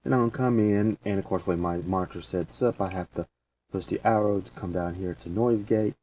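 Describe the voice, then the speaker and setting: A man presenting a casual software tutorial, talking through each step as he goes in informal, folksy phrasing. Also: sits low, a little fast. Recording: distant mic with faint background noise.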